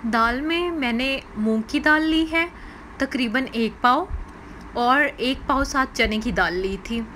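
A woman's voice: high voice, slow pace, pushed and bright, a little hiss or hum. A woman hosting a cooking channel, explaining each recipe step in a voice-over and often restating it in simpler words. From Pakistan.

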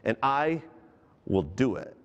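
A middle-aged male pastor preaching from a large church stage with an animated delivery, putting questions straight to the congregation. His voice sits at an ordinary middle pitch and carries a long reverb from the hall. The headset mic keeps him up close under that echo. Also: slow, highs cut off. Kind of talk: sermon.

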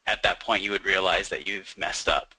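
A young man with a lowish but very bright, sharp voice, talking through software features in a loose, easygoing way. He fills pauses with "um" and "like". The sound is dead dry, with no room at all. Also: steady tone, slightly rough, no echo, poor audio. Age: young adult. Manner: fluent, uses fillers like "um" and "like". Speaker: software developer presenting. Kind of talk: casual explanation in a webinar.

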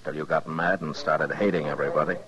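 An actor playing a weary, steady frontier marshal in a 1950s radio western, terse and grave, with an American accent. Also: male voice, rumbling low, fast talker, crowd noise. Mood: firm, resigned. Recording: dull 1950s radio recording.

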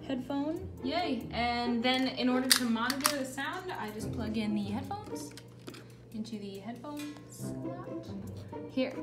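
A young adult woman giving a casual how-to tutorial in a chatty, informal manner. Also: on the high side. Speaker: tutorial presenter. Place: small indoor room with bare block walls. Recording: echoey room with music behind. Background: music.

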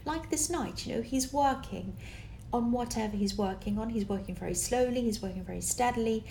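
A tired woman with a slightly croaky voice, giving a casual tarot reading to camera in a rambling, encouraging way full of fillers. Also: on the high side, fairly quick, mellow, a little hiss or hum. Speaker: tarot reader. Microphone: camera with external microphone.

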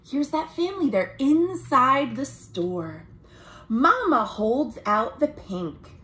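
A woman, reading a children's picture book aloud in an expressive, deliberate way as a storytime host. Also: high voice, rough recording. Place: small room at home, indoors.

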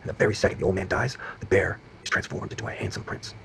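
A fast-talking, gravelly, tender father. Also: sits low, noisy background. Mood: hushed storytelling. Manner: hesitant, repeating himself. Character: tender, tired father, speaking casually to his child. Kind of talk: naturalistic screen acting.

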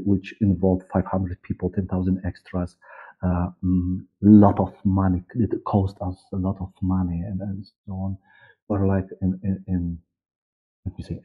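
Deep-voiced Polish man, halting and muffled. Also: husky. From Poland. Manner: halting, searching for words. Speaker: guest, audiobook director and producer. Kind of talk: interview answers. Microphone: headset on a video call. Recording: muffled, narrow-band video call audio.

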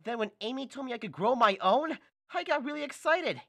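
A man voicing an earnest, excitable young hedgehog hero with an eager delivery. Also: extremely high pitch, brisk, pitched above the performer's own voice, exaggerated voice. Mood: excited. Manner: eager, exclaiming.

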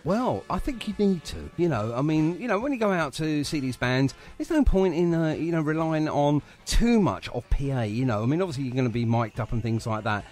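Bloke hosting a chatty radio interview, keen, really lively up and down voice, bit of music faintly there. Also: male voice, a little high, brisk, few pauses. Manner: enthusiastic, chatty.

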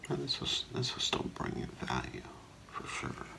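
A middle-aged man whispering in a halting, hushed ASMR role play as an appraiser, breathy and gravelly, close to the mic. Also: rumbling low, very animated, a little hiss or hum. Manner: slow, hushed, halting, with long pauses. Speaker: ASMR performer role-playing a gemologist. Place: small curtained room indoors.

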